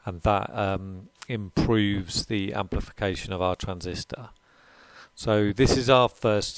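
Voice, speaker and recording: A man's voice: on the low side, creaky, no echo. A man presenting an informal technical demonstration, explaining in a hesitant, unscripted way. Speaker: presenter of an electronics tutorial. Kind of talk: informal screen-recorded demonstration.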